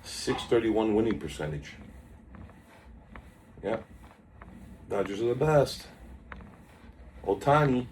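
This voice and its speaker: A man with a lowish voice talks through the day's stock moves in a loose, rambling way, wandering off into small talk between tickers. It sounds like a casual market update recorded on a phone, with a faint hum of background noise. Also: big pitch swings, slow pace, pauses often, a little mellow. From South Florida. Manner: chatty, drifts off topic, reads out stock tickers. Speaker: stock market video host.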